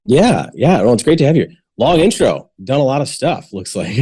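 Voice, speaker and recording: A man's voice: mid-range voice, sing-song, normal speed, mellow, husky, no echo. A man hosting a podcast, reading a prepared introduction and then chatting with friendly, short acknowledgements. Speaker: podcast host. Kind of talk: scripted intro then casual interview.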